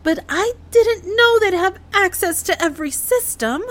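A woman playing a worried, cautious moon base crew member in an audio drama, speaking in a halting, concerned way. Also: very high voice, animated. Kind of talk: scripted audio drama acting.

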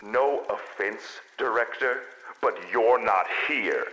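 A man's voice: medium pitch, slow pace, bright, forceful tone. A man playing a weary, defiant executor who protects his people, speaking bluntly and formally in a dystopian audio drama, in a reverberant space. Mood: firm, defiant. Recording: echoey hall with long reverb.

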